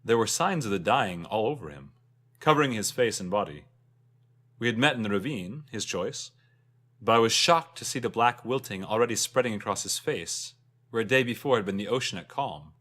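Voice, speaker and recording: A man voicing an ageless, wistful immortal who tells a first-person fantasy tale in a solemn, measured way. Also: on the low side, lively intonation, fairly bright tone. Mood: sorrowful, grave. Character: ageless, wistful immortal recounting an old jealous friendship.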